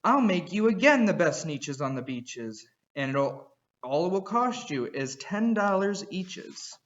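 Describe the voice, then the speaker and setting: A man voicing a slick, fast-talking male salesman with a smooth, clear and keen sales pitch. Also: very animated, a bit bright, highs cut off, exaggerated voice. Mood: persuasive.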